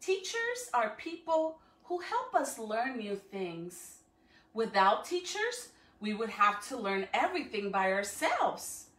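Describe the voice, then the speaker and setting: High-pitched woman reading slowly, echoey room. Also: big pitch swings, a bit bright. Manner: encouraging, addressing children with questions. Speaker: school educator reading to students. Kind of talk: read-aloud for children on a livestream. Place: living room at home, indoors. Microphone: phone or camera a few feet away. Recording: echoey room, distant from the microphone.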